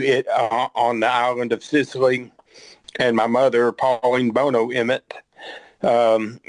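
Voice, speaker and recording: Slow-spoken Texan man in his sixties. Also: mid-range voice, no echo, low-quality recording. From Texas. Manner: slow, halting, with many ums and restarts. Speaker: podcast guest. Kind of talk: conversational interview.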